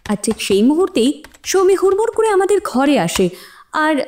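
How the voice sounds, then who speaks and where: A woman's voice: extremely high pitch, big pitch swings, fairly quick, darkish tone. A woman playing a guarded young student testifying as a witness in an audio drama, explaining herself a little hesitantly. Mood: guarded, earnest. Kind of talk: courtroom audio drama dialogue.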